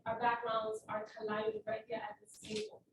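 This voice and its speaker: A woman moderates a live panel with a slightly high, gravelly voice. She talks in a conversational, emphatic way. The recording is distant and roomy, with clear room noise behind her. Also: sing-song, a little fast. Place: event hall.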